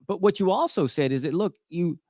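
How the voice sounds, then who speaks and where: A man's voice: high voice, expressive, fairly quick, warm tone, no room sound, studio quality. A man in his fifties hosting a conversational faith talk show, speaking emphatically and often repeating himself to check in. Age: 50s. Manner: emphatic, repetitive, checks in with "right?". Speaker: show host.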